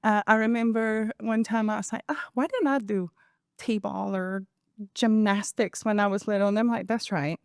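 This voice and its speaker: A woman in her forties from Venezuela, a Spanish speaker with a Venezuelan accent, telling her life story as a podcast guest in an animated way. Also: mid-range voice, normal speed, clean tone, dry recording, clean studio sound. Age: 40s. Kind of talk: conversational interview.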